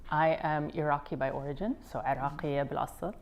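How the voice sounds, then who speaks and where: Low-voiced American woman, measured and thoughtful. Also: fairly even intonation. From United States. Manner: measured, thoughtful, frequent ums. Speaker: interview guest, physician and company founder. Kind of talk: relaxed interview answers.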